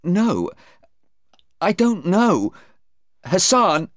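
A man's voice: fairly high pitch, very animated, extremely slow, dry recording, clean studio sound, voice pushed up, full character voice. A man voicing a distressed, defensive young woman in an audiobook, hesitant and pleading. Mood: indignant, distressed. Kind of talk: audiobook character voice.

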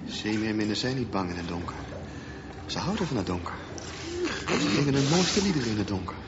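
An actor playing an insistent, eerie youth drawn to the sea in a radio drama. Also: male voice, lowish voice, big pitch swings, fairly quick. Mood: coaxing, insistent. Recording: muffled radio recording with loud background noise.

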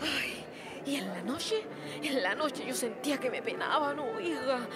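A woman's voice: sits high, expressive. A woman acting a gossipy, indignant Chilean village woman in a radio play, telling things in a colloquial, dramatic way. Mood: fearful, dramatic. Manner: colloquial, dramatic, drawn-out storytelling. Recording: music behind the voice.

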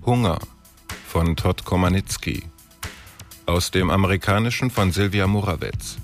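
A man reads the credits of a radio drama in a plain, formal tone. His voice is deep. Music plays softly behind him. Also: normal speed. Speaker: credits announcer.

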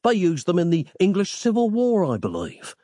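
A man's voice: sits high, wildly swooping pitch, normal speed, dark tone, no room sound, studio quality, voice pushed up. A man voicing an exasperated, sarcastic modern man who complains incredulously in an audio story. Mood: placating.

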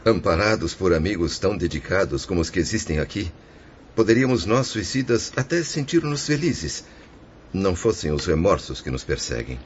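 Man acting as deep-voiced, remorse-ridden ruined merchant spirit. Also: very animated, moderate pace. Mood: sorrowful, resigned. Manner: solemn, declamatory, formal. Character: remorseful male suicide spirit, ruined merchant, resigned yet anguished. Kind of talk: religious radio drama acting. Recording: dull lo-fi audio with faint noise.

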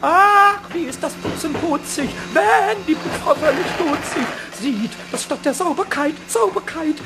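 A man voicing a small mischievous kobold in a German children's radio play, squeaky, cackling, sing-song and boastful. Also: expressive, a little slow, loud music behind the voice, full character voice, low-quality recording. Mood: triumphant, boastful.

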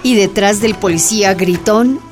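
A woman's voice: mid-range voice, moderate pace. A woman narrating a scripted radio drama. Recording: music and crowd sounds behind.

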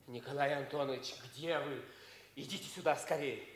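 An actor playing an obsessive, bitter young schemer in a theatrical 1950s Soviet radio play. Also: male voice, medium pitch, fairly even intonation, moderate pace, natural voice. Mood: shaken, agitated.

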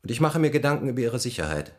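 An actor playing a sober, businesslike police inspector in a radio play, formal and matter-of-fact. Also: male voice, medium pitch, sing-song, racing, close to an everyday voice. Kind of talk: German radio play acting.

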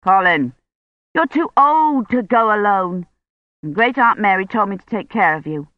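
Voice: mid-range voice, lively intonation, normal speed, no room sound, close to an everyday voice. A weary older academic acted out by a narrator, a man who is firm and protective. It is an audiobook character in a dry, close, slightly dull recording.

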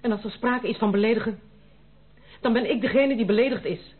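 An actress plays a proud young noblewoman in a radio play. Her voice sits fairly high, formal and dramatic, full of indignation and refusing to yield. The recording is narrow and tinny. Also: fairly quick, lightly acted. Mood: hurt, furious. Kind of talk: Dutch radio play acting.

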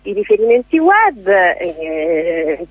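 Donna quarantenne autoironica, audio radiofonico telefonico. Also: female voice, on the low side, big pitch swings, slow, dry recording. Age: 40s. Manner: self-deprecating, joking, digressive, laughs often. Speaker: theatre playwright, director and actress, interview guest. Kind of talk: casual, chatty radio interview. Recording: band-limited, telephone-like radio sound.

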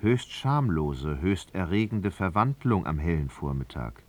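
An actor as a grave, observant literary narrator, telling the story expressively in a radio drama. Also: male voice, low-pitched, big pitch swings, measured pace, darkish tone, full character voice. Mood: intense.

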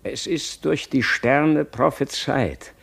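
Tormented, imperious king, slow anguished declamation, creaky voice, theatrical radio drama. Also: mid-range voice, fairly bright tone, exaggerated voice. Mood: agitated, haunted. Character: tormented, fearful, imperious king haunted by prophecy. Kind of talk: German radio play, theatrical dramatic acting.

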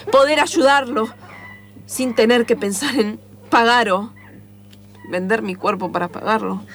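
A woman acting a naive, excitable young city traveler in improvised radio theatre, with an Argentine accent and a melodramatic, exclamatory delivery. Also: high-pitched, slight background noise.

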